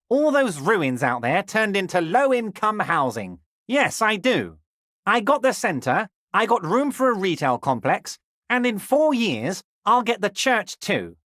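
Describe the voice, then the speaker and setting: An actor playing a heavyset, smooth-talking male union boss, honeyed and self-satisfied with a menacing charm, in game voice acting. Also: high-pitched, a bit bright, no echo, clean studio sound, full character voice. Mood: grandiose.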